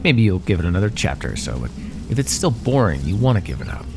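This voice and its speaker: A man's voice: low voice, big pitch swings, quick pace, gravelly, noisy background, full character voice. A man voicing a bored, teasing, menacing naga predator in an ASMR fantasy roleplay, speaking with casual, dry condescension. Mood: teasing menace. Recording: echoey, long cave-like reverb.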